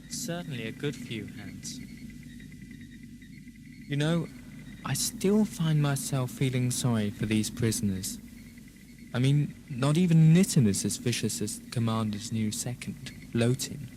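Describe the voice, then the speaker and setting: A man playing a smug, chatty prison ship guard in an amateur audio drama, talking in a measured, scheming manner. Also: medium pitch, lively intonation. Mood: companionable. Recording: noisy ambience, old cassette fan production.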